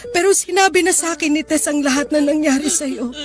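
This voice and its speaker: Actress as a tender, high-voiced Filipina mother. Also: fairly quick, faint music behind, lightly acted. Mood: tender, apologetic. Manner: tender, emotional. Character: loving, apologetic middle-aged mother. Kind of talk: radio drama acting.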